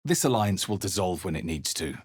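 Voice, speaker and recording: A man voicing an earnest, wry male adventurer hero in a fantasy video game, telling his quest in a measured, brooding, dramatic way. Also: low voice, very animated, breathy edge, no room sound, studio quality, full character voice. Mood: wry, musing. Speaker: video game player-character voice actor. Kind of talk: dramatic game narration and short character barks.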